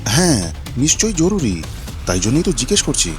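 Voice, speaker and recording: A man's voice: mid-range voice, very animated, brisk, soft background music, close to an everyday voice. A man playing a kind, protective young village husband in a fairy-tale cartoon, earnest and concerned.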